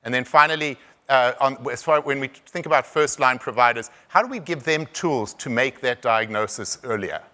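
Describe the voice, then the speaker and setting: A man with a South African accent giving a conference presentation, halting, with frequent restarts and repeated words. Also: mid-range voice, pushed and bright. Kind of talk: conference talk.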